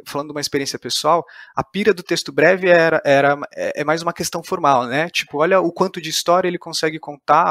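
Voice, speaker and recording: A man's voice: on the high side, fairly bright tone, dry recording, clean studio sound. A man with a Brazilian accent hosting a podcast interview in a casual, reflective conversational style.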